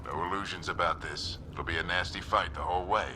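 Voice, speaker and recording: A low, gruff older commander played by a male actor in a video game. He is calm, terse and resolute, giving direction with authority over clearly audible background noise. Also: brisk, lightly acted. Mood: grim resolve. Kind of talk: video game cutscene acting.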